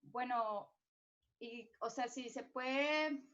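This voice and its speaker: A woman's voice: a little high, very slow, a bit bright. A young woman from Colombia asking a question on a video call, hesitant and searching for her words. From Colombia, Santander. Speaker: audience member asking a question. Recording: dull lo-fi call audio.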